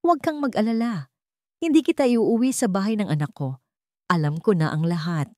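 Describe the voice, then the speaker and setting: A woman's voice: mid-range voice, moderate pace, dark tone, dry recording, clean studio sound, pitched above the performer's own voice, natural voice. A woman reading the lines of a cold, domineering old wealthy patriarch in a commanding, businesslike way.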